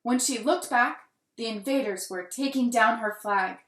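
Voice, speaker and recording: A woman reading a children's picture book aloud with deliberate, dramatic emphasis and expressive delivery. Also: a little high. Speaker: children's storybook reader. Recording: roomy, echoing room sound.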